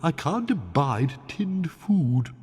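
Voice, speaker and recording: High-pitched, pompous camp dragon. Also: male voice, big pitch swings, slow pace, darkish tone, husky, exaggerated voice. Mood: exasperated. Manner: haughty, affected, theatrical drawl. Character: pompous, snobbish, camp, aesthete dragon weary of questers. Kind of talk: video game cutscene acting. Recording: long cavernous reverb.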